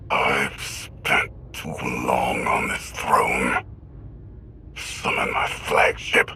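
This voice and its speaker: Very deep, gravelly emperor. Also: male voice, flat delivery, background noise, exaggerated voice. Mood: grim resolve. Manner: slow, grand, commanding. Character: imperious male emperor, brooding and menacing. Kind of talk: video game villain acting.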